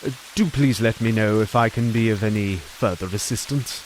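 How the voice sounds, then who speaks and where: A man's voice: fairly low pitch, normal speed, slight background noise, lightly acted, rough recording. An actor playing a pious, wordy clergyman in a period audio drama, solemn and given to quoting scripture. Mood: sympathetic, rambling. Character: pious, fussy, rambling clergyman, male.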